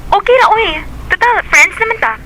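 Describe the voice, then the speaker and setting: A woman's voice: very high-pitched, animated, normal speed, background noise. An actress playing a young female student who becomes a man's girlfriend over video calls, in a radio drama. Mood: friendly. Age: young adult.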